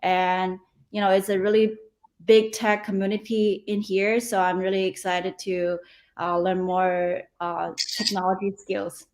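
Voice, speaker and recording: Chatty, excited woman on a video call. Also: medium pitch, unhurried. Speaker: career changer from finance joining a hackathon. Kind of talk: self-introduction on a video call.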